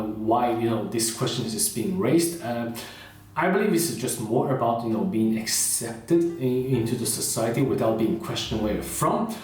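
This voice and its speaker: A man chats to the camera in a loose, conversational way, his pitch rising and falling freely. He sounds set back from the microphone in a very echoey room, with soft guitar music underneath. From China. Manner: conversational, many fillers like "you know" and "uh". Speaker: vlogger. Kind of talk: casual talk to camera. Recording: roomy echo, heard from a distance, with faint guitar music.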